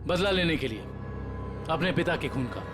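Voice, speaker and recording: A man's voice: a little high, animated, normal speed, lightly acted. A male actor playing an earnest detective who lays out a case in a TV crime drama. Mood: serious. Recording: loud music, outdoor vehicle sounds.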